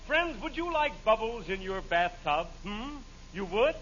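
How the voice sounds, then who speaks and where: A man's voice: high voice, big pitch swings, measured pace, a bit bright, heavily acted. An actor playing a mock-earnest American radio announcer, selling in an exaggerated salesman style in a 1940s comedy show. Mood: gushing salesman. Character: mock-earnest male radio announcer hawking bubble bath. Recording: muffled, very noisy vintage radio broadcast.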